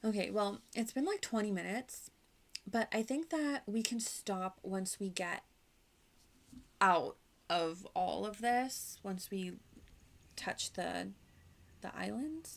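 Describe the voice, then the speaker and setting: A woman's voice: mid-range voice, animated, takes it slowly, creaky, long pauses. A woman streaming a game with casual, slangy commentary, rambling as she reacts aloud.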